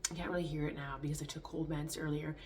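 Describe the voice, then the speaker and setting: Low-voiced stuffy-nosed woman vlogger. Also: level tone, quick pace, a little mellow, slight background noise. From Canada. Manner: rambling, lots of "like" and restarts. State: congested, stuffy nose. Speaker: fitness vlogger. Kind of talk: casual chat to camera.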